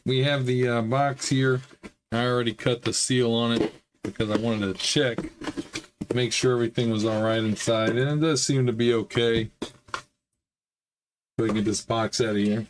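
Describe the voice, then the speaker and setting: A man with a middling voice, a little hoarse, who talks viewers through a hobby build. He rambles easily and drops in plenty of "uh"s. The delivery is relaxed and unscripted. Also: medium pitch, moderate pace, long pauses, noisy background. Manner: easygoing, rambling, frequent "uh" fillers. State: slightly hoarse, recovering from a chest illness. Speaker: hobby model-building channel host. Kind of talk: casual build vlog narration.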